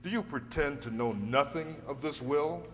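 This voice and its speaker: A man playing a probing defence lawyer in an amateur radio drama, speaking formally and deliberately. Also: a little high, fairly bright tone, lightly acted. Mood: challenging. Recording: echoey hall, faint hum.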